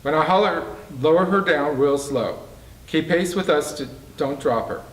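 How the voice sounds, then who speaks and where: A man voicing a commanding captain from a novel, calling out urgent orders in a loud, raised voice. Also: on the high side, normal speed, pitched above the performer's own voice, lightly acted. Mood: firm, directing. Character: commanding male captain shouting orders to his crew. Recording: roomy, distant mic, faint background noise.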